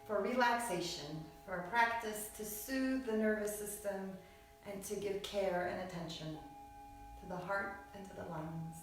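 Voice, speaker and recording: A woman teaching yoga nidra, guiding relaxation in a soothing, unhurried manner with short phrases and long pauses. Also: medium pitch. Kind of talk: guided relaxation meditation. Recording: very echoey room, distant mic, music behind. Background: music.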